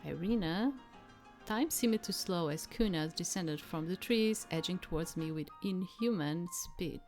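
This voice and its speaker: A woman game streamer with a slightly low voice reads a fantasy visual novel aloud. She takes it slowly and dramatically, dropping into casual asides, with music behind her. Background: soft game music.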